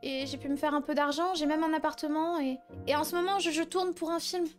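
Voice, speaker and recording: A woman's voice: high voice, steady tone, brisk, soft background music, natural voice. A woman playing a tender, eager twenty-seven-year-old daughter in improvised French game roleplay, speaking hesitantly. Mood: earnest, emotional. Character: young actress daughter, 27, sincere, tender, eager.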